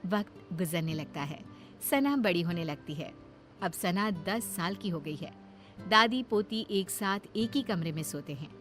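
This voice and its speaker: A woman's voice: lowish voice, animated, unhurried, a bit bright, soft background music. A woman giving scripted narration for a cartoon horror story.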